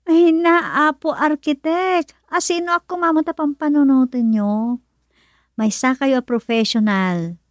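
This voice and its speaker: A bashful young working woman in a radio drama. Her voice is high and takes its time, with musing, drawn-out interjections. The recording is close and dry. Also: dry recording. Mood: coy, modest. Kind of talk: radio drama acting in Ilocano.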